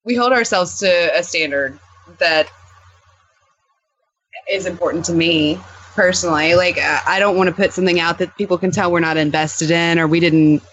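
A woman's voice: on the low side, moderate pace, fairly bright tone, lo-fi sound, a little hiss or hum. A woman speaking casually as a podcast guest in a conversational interview.

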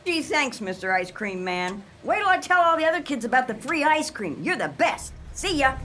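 A woman's voice: high voice, very animated, moderate pace, pushed and bright, heavily acted. A woman playing a cheeky, fickle fifth-grade kid in a radio play, blunt and pestering with childish grammar. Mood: gleeful.

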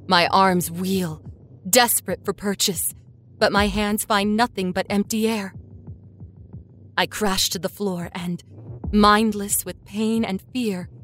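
A woman narrating a first-person horror story with deliberate, measured, dramatic intensity. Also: mid-range voice, a little hiss or hum. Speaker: storyteller, voice actor.

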